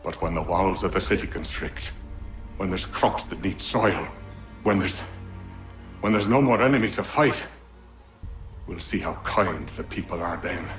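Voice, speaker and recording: An actor playing a scheming middle-aged Templar official who talks smoothly and turns threatening. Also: male voice, low-pitched, measured pace, long pauses, a bit bright, faint music behind. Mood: bitter. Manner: silken, then cold and threatening. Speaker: Templar villain.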